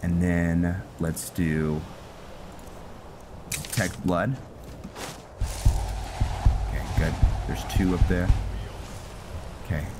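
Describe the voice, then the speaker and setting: A man giving casual roleplay game commentary, halting as he thinks aloud. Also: low voice, flat delivery. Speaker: gameplay host and roleplayer. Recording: loud music behind the voice.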